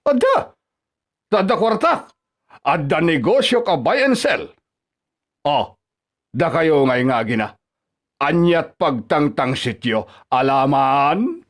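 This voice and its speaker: An actor with an Ilocano accent playing a bullying, scornful middle-aged father-in-law in a radio drama, mocking and quick to anger. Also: fairly high pitch, very animated. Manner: mocking, haranguing. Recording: background music under radio drama.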